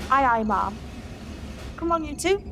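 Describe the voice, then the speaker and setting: A woman performs a starship master chief for a sci-fi audio play. Her voice is high, brisk and a little cheeky, set against loud music. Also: expressive. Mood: brisk, dutiful. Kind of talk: sci-fi audio drama acting. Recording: loud music under the dialogue.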